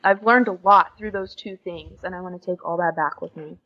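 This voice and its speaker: A woman's voice: fairly low pitch, brisk, highs cut off. A young American woman chatting casually and openly as a guest in an interview. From USA. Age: young adult. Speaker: podcast guest, exchange student.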